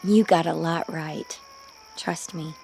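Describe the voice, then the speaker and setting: An actress playing a gentle, candid young woman in a radio drama, speaking softly. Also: low voice, fairly even intonation, slow, gravelly, faint music behind, heavily acted. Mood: fond, teasing.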